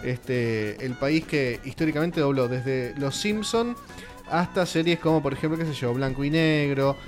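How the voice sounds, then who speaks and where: A man's voice: medium pitch, normal speed, soft background music. A man with an Argentine Spanish accent, co-hosting a casual radio panel and explaining at length with many fillers. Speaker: radio show host.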